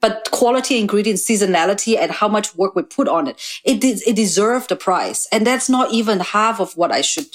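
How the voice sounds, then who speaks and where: A woman's voice: medium pitch, lively intonation, a little fast, hardly any pauses. A woman from Singapore, Hong Kong and mainland China, a chef talking casually as a podcast guest. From grew up in Singapore, Hong Kong and mainland China. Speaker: guest chef and restaurant owner.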